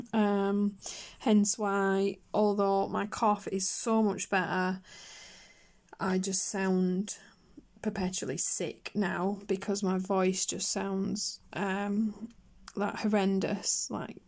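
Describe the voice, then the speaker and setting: A woman chatting casually on her colouring channel, her voice hoarse and croaky after a chest infection, rambling with fillers and coughs. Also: medium pitch, little pitch movement, takes it slowly, darkish tone. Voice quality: husky. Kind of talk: casual chat while colouring.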